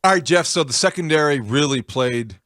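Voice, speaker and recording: A man's voice: on the high side, lively intonation, normal speed, fairly bright tone, no echo, studio quality. A man hosting a sports radio show, laying out analysis and putting questions to a guest. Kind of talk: interview questioning with game analysis.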